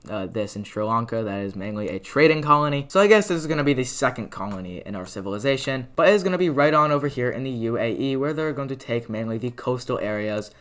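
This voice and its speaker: Guy narrating his map video, voice kinda raspy from talking, says like and uh a lot, mid pitch. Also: lively intonation, fast talker, few pauses, fairly bright tone. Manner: rambling, filler-heavy, lots of "like" and "uh". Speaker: mapping video creator. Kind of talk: casual commentary over a map animation.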